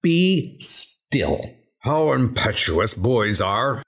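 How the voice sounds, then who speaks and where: A man's voice: medium pitch, very animated, drawn-out words, a bit bright, breathy edge, pitched below the performer's own voice, heavily acted. A man voicing a stern, fretful talking-animal scientist in a comic dub, speaking imperiously. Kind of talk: comic dub character acting. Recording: music under the voice.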